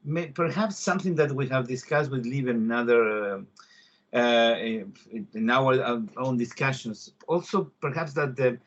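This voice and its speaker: A man co-hosting a podcast, interviewing a guest in a hesitant way, often pausing and restarting. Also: mid-range voice, steady tone. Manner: hesitant, many fillers and restarts. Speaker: podcast co-host. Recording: dull lo-fi recording.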